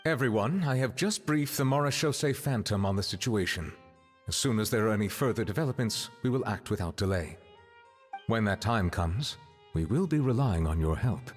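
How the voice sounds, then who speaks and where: A man's voice: on the low side, lively intonation, moderate pace, close to an everyday voice. A man voicing a formal, composed, dutiful official in a video game cutscene. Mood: composed, resolute. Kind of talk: animated video game voice acting. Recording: long reverb, faint music.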